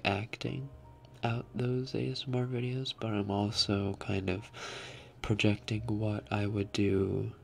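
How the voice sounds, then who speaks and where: Gravelly low-voiced young man. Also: a little hiss or hum. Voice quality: a little raspy. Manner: soft-spoken, half-whispered, laughs often. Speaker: ASMR streamer. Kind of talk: live stream chat, reading comments.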